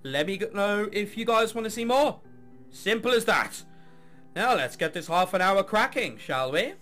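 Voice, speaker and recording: Male let's player, high-pitched and very animated, sarcastic, sweary, music underneath. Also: moderate pace. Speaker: let's play host. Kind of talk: let's play commentary, reading game text aloud.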